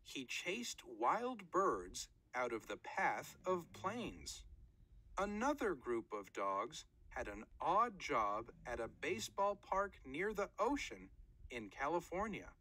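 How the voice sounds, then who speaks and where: A woman's voice: low-pitched, sing-song, takes it slowly, fairly bright tone, breathy edge. A woman reading a children's nonfiction book aloud in short, careful phrases, like a read-aloud narrator.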